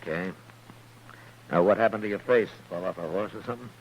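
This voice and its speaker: Low-voiced, weary frontier marshal, played by an actor. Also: male voice, brisk, natural voice. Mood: dry, measured. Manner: stern, dry, sarcastic. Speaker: lead actor in a western radio drama. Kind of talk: old-time radio western acting. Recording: noisy old radio recording.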